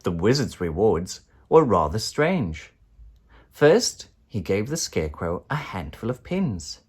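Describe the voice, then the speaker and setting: A man narrating a children's storybook in an expressive, dramatic way. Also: fairly low pitch, slow, slightly rough. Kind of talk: children's storybook read-aloud.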